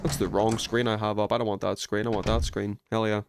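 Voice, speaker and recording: A man's voice: on the low side, little pitch movement, a little fast, background noise. A man hosting a video game livestream, rambling and thinking aloud as he chats casually with viewers. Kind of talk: casual gaming stream chat, reading viewer messages.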